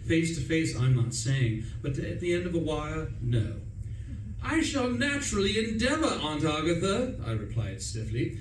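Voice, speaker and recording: Man playing a high-pitched, breezy English gentleman. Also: wildly swooping pitch, normal speed. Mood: indignant, flustered. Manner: pompous, chatty old-fashioned slang. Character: flustered, breezy upper-class young English gentleman, narrating. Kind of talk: theatrical radio play narration and dialogue. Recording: roomy, distant stage recording with faint noise.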